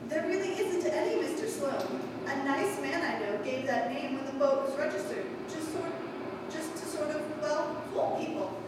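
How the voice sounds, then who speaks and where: Woman acting high-voiced coy mistress, echoing. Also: expressive, moderate pace. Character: coy, flirtatious redheaded actress, the other woman. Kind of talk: 1940s radio mystery acting. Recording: noisy, echoey hall, far from mic.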